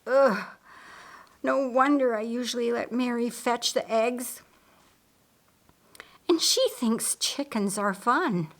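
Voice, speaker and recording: A woman's voice: on the high side, takes it slowly, long pauses, voice pushed up. A woman voicing a practical, wry older sister in an audiobook Bible story, matter-of-fact and a little grumbling.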